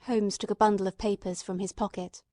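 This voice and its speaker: A woman's voice: medium pitch, level tone, a little fast, dark tone, no room sound, studio quality. A woman reading scripted first-person literary narration as an audiobook narrator.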